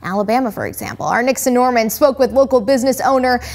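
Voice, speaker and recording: A woman's voice: on the high side, lively intonation, fast, creaky, a little mellow. A woman anchoring local news, reading scripted intros in a polished way.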